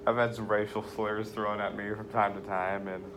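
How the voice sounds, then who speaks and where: Low-voiced monotone teenage guy. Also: moderate pace. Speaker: student leading a reading circle discussion. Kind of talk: casual group discussion. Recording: very noisy room recording with hum.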